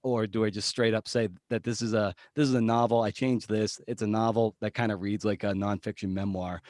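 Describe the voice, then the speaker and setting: Low-voiced man talking in a dry close recording. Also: fairly even intonation, fairly quick, a little mellow, no echo. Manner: thinking aloud, self-questioning. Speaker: podcast guest, journalist and memoir writer. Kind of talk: casual conversational interview answers.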